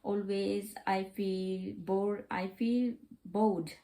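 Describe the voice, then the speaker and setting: A woman learning English as a second language, talking casually in a vlog, pausing with many fillers and repeating herself. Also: mid-range voice, steady tone, dark tone. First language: not English.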